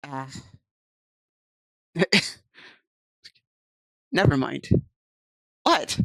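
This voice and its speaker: A woman's voice: deep voice, very animated, extremely slow, dry recording, clean studio sound. A woman streaming, reading visual novel text aloud and chatting casually with viewers on a desk mic. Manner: chatty, reads game text aloud and answers chat.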